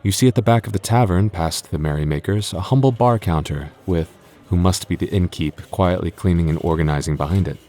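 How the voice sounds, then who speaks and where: A low-pitched, exasperated young dungeon master played by a man, the tsundere childhood friend of an audio roleplay. He sounds put-upon and hesitant, speaking in a distinctly deep register. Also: fairly quick, mellow, natural voice. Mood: measured narration. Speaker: game master. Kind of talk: ASMR audio roleplay acting.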